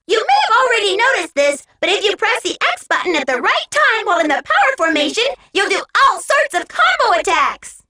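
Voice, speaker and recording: A woman's voice: extremely high pitch, bright, forceful tone, exaggerated voice. A woman voicing a small, cheerful, childlike robot helper who gives eager, encouraging tutorial instructions in a video game. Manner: peppy, encouraging, clearly instructive.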